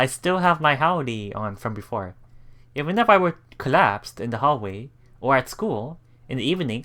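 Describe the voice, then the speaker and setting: Animated man playing through a visual novel. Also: mid-range voice. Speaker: let's play host. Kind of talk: reading visual novel text aloud with casual commentary. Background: game music.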